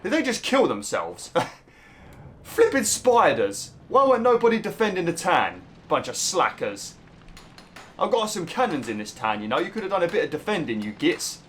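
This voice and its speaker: A young British man with a slightly rough, hoarse throat, chatting casually through gameplay commentary and breaking into mild exclamations. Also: high voice, huge pitch swings, moderate pace, a bit bright, a little hiss or hum. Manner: chatty, swears mildly, bursts into exclamations at the game. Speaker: let's play host.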